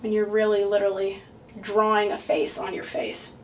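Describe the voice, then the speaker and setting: A woman's voice: mid-range voice, level tone, normal speed, a little mellow. A young woman, a drag king artist, explains her makeup routine in a casual, offhand way, joking about herself as she goes. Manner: offhand, joking, self-deprecating. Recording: distant mic with music behind.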